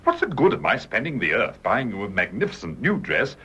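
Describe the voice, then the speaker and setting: An actor playing a high-spirited, affectionate crippled husband in a British radio drama, with an excitable, teasing, emphatic British delivery. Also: male voice, brisk, a little hiss or hum, exaggerated voice. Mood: excited, teasing. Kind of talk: British stage-play radio drama acting.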